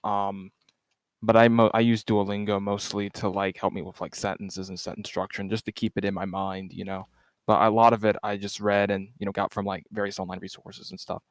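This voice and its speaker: A young man from the US chatting casually over voice chat, soft-spoken and hesitant, with lots of fillers. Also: lowish voice, level tone, brisk, no echo, clean studio sound. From United States. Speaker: group chat member.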